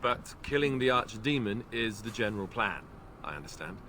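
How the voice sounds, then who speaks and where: An actor playing a wry, good-natured young warrior companion in a video game, conversational and self-deprecating. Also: male voice, on the low side, animated, moderate pace, pushed and bright. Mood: wry, resolved. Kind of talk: video game dialogue acting.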